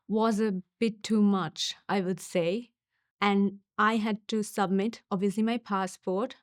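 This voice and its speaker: A young woman from Sri Lanka, a university student giving a casual testimonial, thoughtful and unhurried with small pauses and fillers. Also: mid-range voice, a little mellow, dry recording, studio quality.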